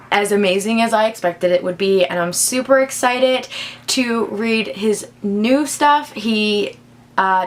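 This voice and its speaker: High-pitched young woman chatting about books. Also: fairly bright tone. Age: young adult. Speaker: book vlogger. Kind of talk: casual chat to camera.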